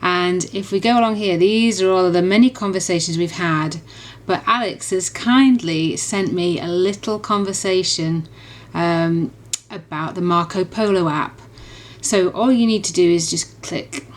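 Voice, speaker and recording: A woman's voice: fairly low pitch, a little slow. A woman reviewer in a casual, chatty and enthusiastic demonstration, rambling along as she explains. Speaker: app reviewer.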